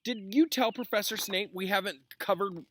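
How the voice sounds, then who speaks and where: A man voicing a calm, reassuring adult male schoolteacher in a storybook read-aloud. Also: high-pitched, moderate pace, slight background noise, voice pushed up, natural voice. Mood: concerned.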